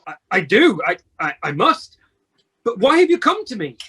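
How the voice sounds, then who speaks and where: A man playing a crabby old miser in a radio play, with a husky voice and a crotchety, easily rattled manner. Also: sits high, wildly swooping pitch, normal speed, bright, forceful tone, exaggerated voice. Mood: frightened, pleading. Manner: crotchety, stammering when frightened. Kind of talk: amateur radio play acting.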